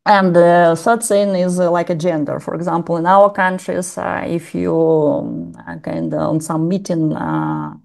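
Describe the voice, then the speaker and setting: Gravelly low woman. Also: unhurried, hardly any pauses, darkish tone. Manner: hesitant, many fillers and restarts. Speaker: lecturer. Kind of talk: informal lecture.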